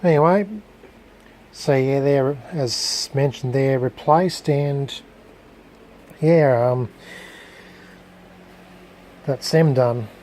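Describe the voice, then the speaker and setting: A man gives a casual, unscripted hands-on demonstration as a hobbyist restorer, talking haltingly and searching for his words. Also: mid-range voice, darkish tone, low-quality recording. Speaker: hobbyist electronics restorer presenting a video.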